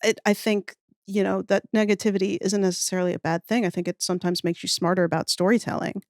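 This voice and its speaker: A woman's voice: medium pitch, little pitch movement, quick pace, no echo. Chatty, wry woman in a dry room. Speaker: podcast guest, audio fiction writer. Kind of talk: casual chat.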